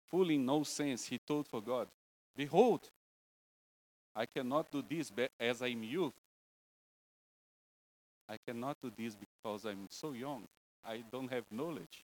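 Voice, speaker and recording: A male preacher from Brazil speaks with a Brazilian Portuguese accent, delivering a casual church sermon. His English is broken and halting, and he keeps the tone light with jokes at his own expense. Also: mid-range voice, low-quality recording. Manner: joking, self-deprecating, broken English. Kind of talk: informal sermon.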